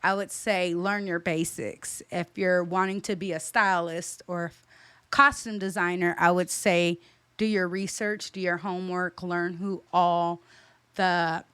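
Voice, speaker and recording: Young woman, stylist guest, smooth clear voice, direct and emphatic. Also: lowish voice, fairly bright tone, dry recording. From California. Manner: emphatic, repeats key points, direct. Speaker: stylist and boutique owner, guest. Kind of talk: interview answers, advice.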